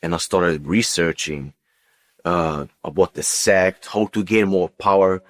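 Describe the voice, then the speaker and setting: A man who speaks as a tells his personal story as an interview guest, in a halting, unscripted way with repeated words and phrases. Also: low voice. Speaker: interview guest giving testimony.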